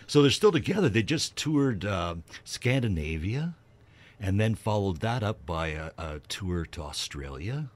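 Low-pitched man chatting about music on radio. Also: big pitch swings, measured pace. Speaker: radio co-host. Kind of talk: casual music chat.

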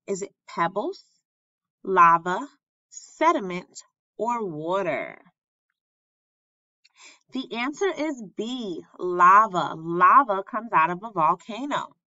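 A woman reads a children's lesson aloud at an unhurried pace, with a bright, forward tone. She enunciates each phrase deliberately like a schoolteacher. The recording is close and dry, slightly dull in fidelity. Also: mid-range voice, lively intonation, no room sound. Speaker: elementary school teacher reading aloud.

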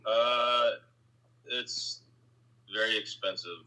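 A man's voice: extremely slow, bright, forceful tone, low-quality recording. A man from California talking hesitantly on a video call, with many fillers. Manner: hesitant, filler-heavy, low-key.

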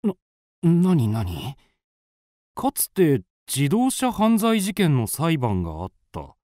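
An actor playing an earnest, easily astonished friend of a detective, with dramatic, halting radio drama delivery. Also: male voice, on the high side, huge pitch swings, mellow, dry recording, clean studio sound, exaggerated voice. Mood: puzzled, reading. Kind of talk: Japanese radio drama acting.

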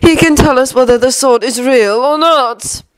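A woman's voice: sits high, normal speed, no room sound, voice pushed up, lightly acted. A woman voicing a cool, aristocratic male villain in a story, giving controlled orders. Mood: cold, commanding.

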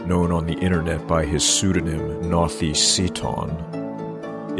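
Deep-voiced male podcast host. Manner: measured reading, hesitant and relaxed when speaking freely. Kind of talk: read sponsor spots and introduction, then casual chat.

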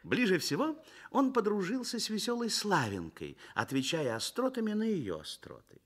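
Animated male radio-play narrator. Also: high-pitched, normal speed. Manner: expressive, literary storytelling. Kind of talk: dramatic scripted narration for a Soviet radio play.

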